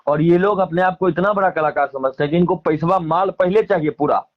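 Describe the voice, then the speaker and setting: High-pitched Bihari man, emphatic. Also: brisk, lo-fi sound. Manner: emphatic, repeats phrases, colloquial. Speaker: interviewee, singer and stage performer. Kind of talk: casual phone interview, airing grievances.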